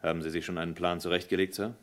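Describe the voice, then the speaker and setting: A man's voice: low-pitched, little pitch movement, very fast, a bit bright. An actor playing a dutiful male police lieutenant who speaks deferentially to his boss in a radio crime play.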